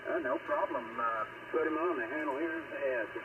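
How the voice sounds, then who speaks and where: A man talking casually as an amateur radio operator, with a halting delivery full of pauses, heard over ham radio. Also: on the high side. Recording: noisy, narrow-band radio transmission, distant.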